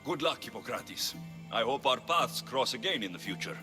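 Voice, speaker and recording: An actor playing a self-assured, defiant ancient Greek mercenary in a video game drama, speaking in a firm, wry, challenging way. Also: male voice, medium pitch, very animated, moderate pace, natural voice. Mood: calm, wry. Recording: loud music underneath.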